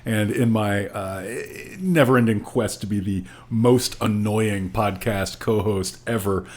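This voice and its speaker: A man's voice: lowish voice, a little slow, a little mellow. A man co-hosting a podcast, chatting casually in a wry, hesitant way. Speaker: podcast co-host.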